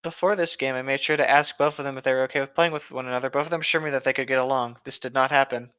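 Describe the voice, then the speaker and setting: A man's voice: medium pitch, fairly even intonation, very fast, fairly bright tone, clean tone. A man co-hosting a casual show, reading stories and commenting, on a dull lo-fi microphone. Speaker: co-host reading online stories. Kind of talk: casual chat and story reading.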